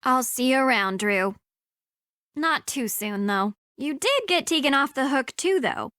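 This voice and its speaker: A woman's voice: high voice, lively intonation, a little slow, sharp tone, clean tone, no room sound, clean studio sound, full character voice. A woman voicing a prickly, haughty rival in a video game, sarcastic and grudging in every compliment. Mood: grudging, smug. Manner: sardonic, haughty.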